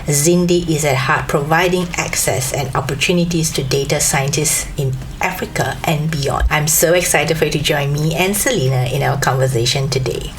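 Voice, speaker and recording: A woman's voice: deep voice, normal speed, few pauses, rough recording. A woman hosting an interview show, presenting and asking questions over a remote call. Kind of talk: scripted introduction and conversational interviewing. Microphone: remote video call.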